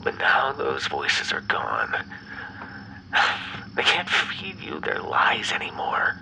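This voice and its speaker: A man playing an obsessive, bitter, menacing ex-lover in an ASMR roleplay, speaking in a breathy, brooding half-whisper. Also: extremely low pitch, huge pitch swings, sharp tone, a little hiss or hum, exaggerated voice, low-quality recording. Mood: cold menace. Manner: slow, brooding, theatrically menacing. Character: obsessive, bitter yandere male vampire ex-lover, menacing captor.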